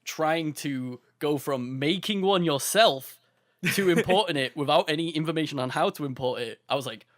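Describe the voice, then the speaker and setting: A man co-hosting a podcast, relaxed and easygoing, often chuckling as he drifts into nostalgic tangents. His voice is moderately raised in pitch and sounds dry and close to the mic. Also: normal speed, no room sound. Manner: laid-back, chuckling, nostalgic rambling. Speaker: podcast co-host. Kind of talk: casual podcast chat.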